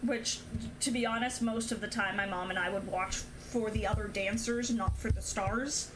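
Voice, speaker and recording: A woman's voice: mid-range voice, fairly even intonation, normal speed, background noise. A young woman streamer casually chatting and telling stories while gaming, laughing often. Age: young adult. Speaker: streamer host.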